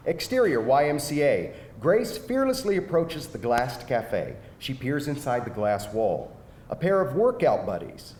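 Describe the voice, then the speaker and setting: A man narrating screenplay directions at a live table read, in a dramatic storytelling manner with measured pauses. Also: a little mellow. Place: echoing indoor venue at live reading. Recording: echoey live room, faint rumbling noise.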